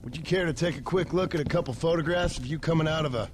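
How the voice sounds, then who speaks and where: An actor playing a laconic, polite but menacing Western drifter in a video game, with a dry, understated delivery. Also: male voice, fairly high pitch, fast, background noise, close to an everyday voice. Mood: dry, wry. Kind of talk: video game cutscene acting.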